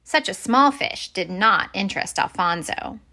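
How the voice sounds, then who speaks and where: A woman reading a children's picture book aloud as expressive story-time narration. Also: medium pitch, a little slow.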